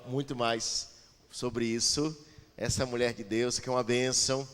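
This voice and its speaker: A male pastor addresses his congregation and leads a prayer, punctuating his lines with invocations of the Father. His voice sits at a middling pitch for a man. A long hall reverb trails each phrase. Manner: fervent, punctuating the prayer with repeated "Father" and "God". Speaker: pastor introducing the preacher. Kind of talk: sermon introduction and prayer. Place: church sanctuary with a PA.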